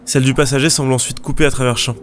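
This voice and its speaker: A man's voice: mid-range voice, fairly even intonation, racing, a little hiss or hum, lightly acted. A man playing a nervous, eager young police lieutenant in an audio drama, hesitant and deferential. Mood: nervous, reporting.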